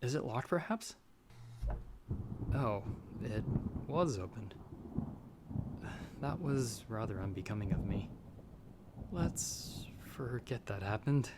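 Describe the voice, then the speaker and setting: A man's voice: on the low side, animated, takes it slowly, long pauses, very noisy. A man playing a humble young farmer's son in an audio roleplay, speaking hesitantly with courteous, old-fashioned formal phrasing. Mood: flustered. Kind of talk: ASMR audio roleplay acting.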